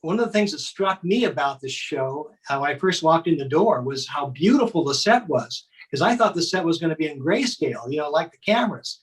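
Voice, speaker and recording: Older guy casually narrating old home movies, slightly high voice, folksy and chatty. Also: lively intonation, brisk, few pauses, low-quality recording. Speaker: interview guest.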